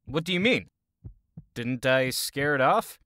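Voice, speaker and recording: A man's voice: medium pitch, lively intonation, a little slow, pushed and bright, no echo, clean studio sound. A man playing a jittery, naive young thief with a nervous, plain-spoken manner. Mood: puzzled, relieved.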